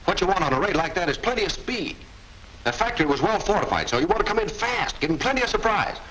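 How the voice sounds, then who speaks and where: A man's voice: sits high, sing-song, fast talker, sharp tone, heavily acted. A man acting in a 1940s war film as a boastful young American airman with a breezy, eager manner. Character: cocky, boastful young American bomber lieutenant, a captive. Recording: noisy 1940s film soundtrack.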